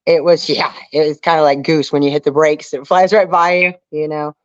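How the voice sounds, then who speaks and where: A woman's voice: low voice, little pitch movement, normal speed, no echo, muffled recording. A woman from Texas telling personal stories in a casual, candid, conversational interview style, with some drama in the telling. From Houston, Texas area. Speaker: interview guest, outdoorswoman and reality show contestant.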